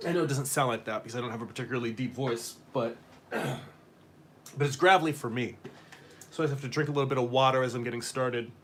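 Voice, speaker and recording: A man's voice: mid-range voice, fast, a bit bright, slight background noise. A man who works as a comic artist, chatting casually and a bit ramblingly as he hosts a live stream. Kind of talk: casual live-stream chat while demonstrating.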